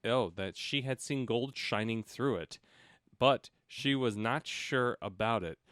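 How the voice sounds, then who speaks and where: A man's voice: medium pitch, measured pace, fairly bright tone, no echo, clean studio sound. A man reading a storybook aloud as a comic co-host, breaking off for casual, irreverent remarks. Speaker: comic audiobook reader and co-host.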